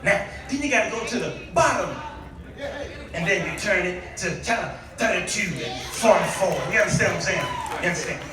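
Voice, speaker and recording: A very high-voiced American man preaches in a heavily echoing hall. His delivery is theatrical and exclamatory, with drawn-out emphasis and questions thrown out to the congregation. The pitch swings widely from phrase to phrase. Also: expressive, a crowd in the background. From Chicago, United States. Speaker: guest preacher. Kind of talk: nostalgic sermon to a congregation. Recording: echoey hall, distant microphone.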